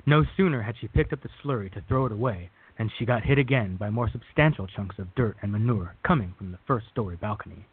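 A man with a husky voice reading scripted narration for a radio drama. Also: fairly low pitch, animated, fast, few pauses. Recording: thin phone-like band.

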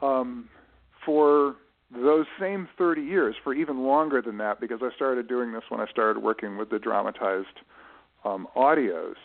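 A man's voice: medium pitch, creaky. Thoughtful man on a dull, band-limited line. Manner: halting, thoughtful, explanatory, with repeated self-corrections. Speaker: guest, writer managing his late father's literary estate. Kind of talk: conversational interview answers. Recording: band-limited radio-style voice recording.

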